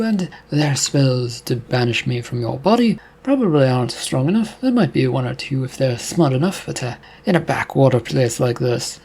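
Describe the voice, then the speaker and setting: A man's voice: medium pitch, darkish tone, slightly rough. A man voicing a scheming male demon who possesses a man, smug and mocking.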